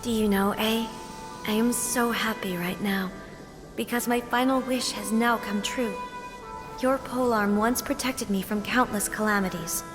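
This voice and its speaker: A woman voicing a gentle, serene spirit of a departed goddess in a video game, speaking tenderly and formally. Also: medium pitch, steady tone, lightly acted. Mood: solemn, tender. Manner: tender, formal, unhurried storytelling delivery. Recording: long reverb, prominent music behind.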